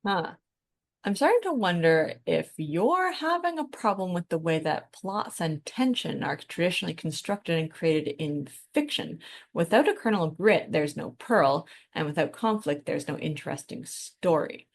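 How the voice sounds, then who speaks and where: A woman co-hosting a podcast, talking through ideas in a casual, analytical and measured way. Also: fairly low pitch, expressive, creaky. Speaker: podcast co-host.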